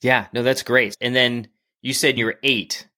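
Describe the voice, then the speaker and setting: Bright-voiced male podcast host. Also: medium pitch, fairly even intonation, moderate pace, dry recording, clean studio sound. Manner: affirming, with short agreeing interjections. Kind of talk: conversational interview.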